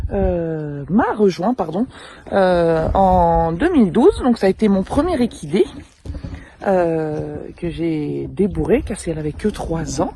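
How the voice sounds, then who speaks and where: A woman in her thirties from the French Alps, who raises mules as an amateur, introducing herself casually outdoors in a field beside her animals and pausing often to hesitate. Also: medium pitch, dark tone, slight background noise. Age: 30s. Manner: hesitant, frequent filler pauses. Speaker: amateur mule breeder. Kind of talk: casual self-introduction to camera.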